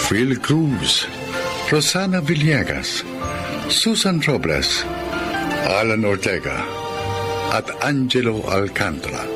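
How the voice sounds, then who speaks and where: A Filipino man reading formally as the announcer of a radio drama. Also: mid-range voice.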